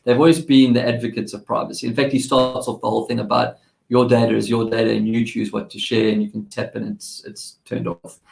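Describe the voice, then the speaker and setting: Gravelly South African man, chatty. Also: mid-range voice, level tone, fairly quick, darkish tone, reverberant, rough recording. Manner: chatty, rambling, with many restarts. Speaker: tech segment host. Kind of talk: casual chat.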